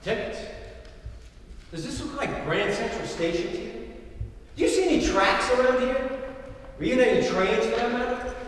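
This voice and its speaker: A man's voice: very high-pitched, animated, normal speed, pauses often. An actor playing a theatrical man in a live one-act stage play. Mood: exasperated. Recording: very echoey hall, distant mic, noise.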